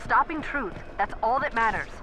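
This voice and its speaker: Woman playing earnest AI companion over rumbling engines. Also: expressive, moderate pace, lightly acted. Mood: awed, resolute. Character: young female AI companion, urgent, clever, devoted. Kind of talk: video game cutscene acting. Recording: rumbling engine noise and game score.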